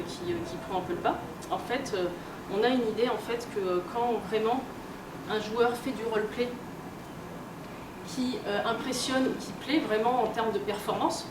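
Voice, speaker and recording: A woman lectures informally at a convention, heard from well away from the microphone in an echoey room. She explains things in everyday language, often hesitating and repeating words as she goes. Loud noise runs behind her voice. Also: medium pitch. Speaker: guest speaker, researcher and game publisher. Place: room at a convention, recorded from afar. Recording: distant roomy mic, loud background noise. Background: loud ambient noise.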